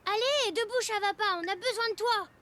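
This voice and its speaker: A woman's voice: very high-pitched, fairly quick, background noise. A woman voicing a sweet, eager young animal child in a children's cartoon, cheerful and full of wonder. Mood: teasing.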